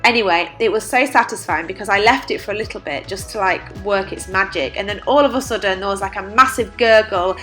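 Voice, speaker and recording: A woman's voice: mid-range voice, brisk, hardly any pauses, fairly bright tone, faint music behind, poor audio. Chatty woman with a bunged-up cold. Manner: chatty, with frequent "like" fillers. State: congested from a cold. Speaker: cleaning vlogger. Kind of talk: casual voice-over narration.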